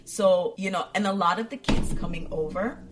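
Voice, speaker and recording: A woman's voice: on the low side, moderate pace, noisy background. Middle-aged woman podcast host from Jamaica, emphatic and conversational. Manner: emphatic, repeats key phrases for effect.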